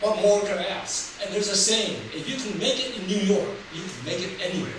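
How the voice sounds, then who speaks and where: An older man from Taiwan, Taiwanese his first language, tells his life story in a speech and laughs at his own jokes. His voice sits fairly high. He is heard from far back in a very echoey hall, with steady noise behind him. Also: fairly quick. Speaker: public speaker. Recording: roomy hall from far off, noisy.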